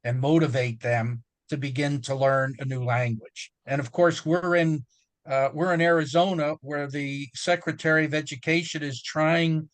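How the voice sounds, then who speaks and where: A man's voice: fairly high pitch, fairly even intonation, normal speed, no room sound. An older man talking earnestly as a guest on a panel chat.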